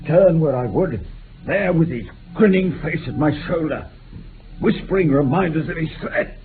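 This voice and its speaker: An actor playing a remorseful, dying old former bushranger in a radio drama, making an impassioned, halting confession. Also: male voice, a little high, expressive, faint music behind, lightly acted. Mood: bitter. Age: older. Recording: muffled phone-like old radio recording, hiss.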